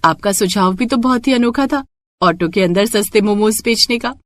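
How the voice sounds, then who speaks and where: A woman plays a devoted young village daughter in a moral cartoon tale. Her voice is mid-pitched, earnest and respectful, with a self-reliant streak. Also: moderate pace, no echo, clean studio sound, lightly acted. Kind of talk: Hindi moral cartoon story acting.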